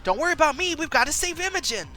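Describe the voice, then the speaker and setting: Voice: sits high, sing-song, very fast, bright, forceful tone, soft background music, voice pushed up, heavily acted. A storyteller voicing a protective male adventurer who urgently rallies his friends with a commanding manner. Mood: brave, urgent.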